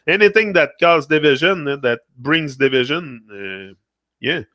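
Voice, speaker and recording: A Québécois man, a native French speaker, chats casually on his livestream, answering viewers as he talks. His voice sits a little high and sounds close and dry, with no room around it. He slides between English and French mid-sentence, tagging his phrases with a French "là". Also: a little slow, sharp tone, no echo. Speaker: livestream host. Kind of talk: casual live-stream chat reading comments.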